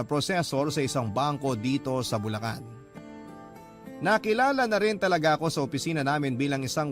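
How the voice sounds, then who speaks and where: An actor plays a serious Filipino man in his late twenties telling a personal story. His voice is slightly high, in scripted radio-drama narration, with music clearly behind it. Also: natural voice. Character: serious twenty-nine-year-old male bank worker, wistfully telling his story.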